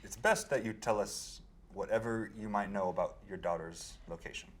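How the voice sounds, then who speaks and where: A man's voice: lowish voice, normal speed. A man playing a tabletop game, talking casually with the group. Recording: group chatter behind the voice.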